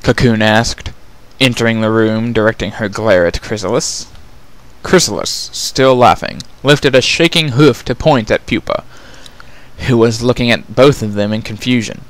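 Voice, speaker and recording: A man's voice: mid-range voice, moderate pace. A man narrating a fantasy story as a scripted dramatic reading.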